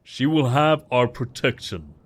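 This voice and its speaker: Man playing a slow, stately vampire lord. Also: medium pitch, big pitch swings. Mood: measured, knowing. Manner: grand, stately, archaic diction. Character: solemn, lordly male vampire court ruler. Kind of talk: formal ceremonial audio drama acting.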